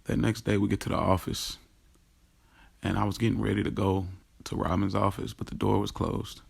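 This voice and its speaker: Deep, creaky-voiced lovestruck young storyteller. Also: male voice, level tone, moderate pace, darkish tone, full character voice. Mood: smitten, relaxed. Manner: informal, slangy, acts out quoted dialogue. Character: lovestruck young man confiding his secret office romance. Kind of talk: first-person audio drama monologue, conversational storytelling.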